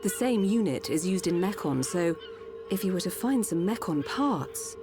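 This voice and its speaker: Calm low-voiced machine-race village doctor. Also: female voice. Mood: explaining. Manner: measured, composed, reassuring. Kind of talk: video game cutscene voice acting. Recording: loud music behind the voice.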